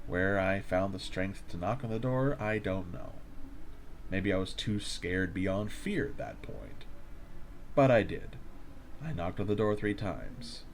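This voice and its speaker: A man's voice: low voice, big pitch swings, unhurried, slight background noise, full character voice. A man playing a shaken delivery courier, telling an eerie first-person account in a dramatic, confessional way for a horror audio drama. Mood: uneasy, haunted, then fearful, tense.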